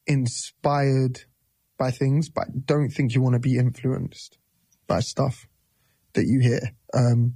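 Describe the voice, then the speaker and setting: A man's voice: medium pitch, warm tone, dry recording. A man in his thirties, a musician guest, talking casually in an interview, loose and rambling with many restarts.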